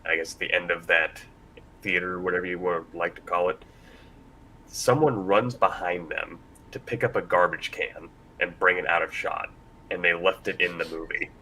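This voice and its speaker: A man with a very low yet forceful, bright voice talks casually as a panel moderator. His delivery rambles and halts, picked up live with faint background noise. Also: fairly even intonation, moderate pace, poor audio. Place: convention panel room.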